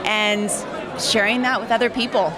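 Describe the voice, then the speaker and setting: A woman's voice: a little high, fairly even intonation, normal speed. A woman from California giving a sincere testimonial. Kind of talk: testimonial statement. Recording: busy crowd behind.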